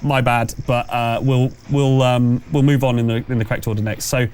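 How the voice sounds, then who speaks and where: A man running a tabletop game as game master, narrating and refereeing conversationally, pausing as he thinks aloud. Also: medium pitch, fairly even intonation, a little mellow, smooth.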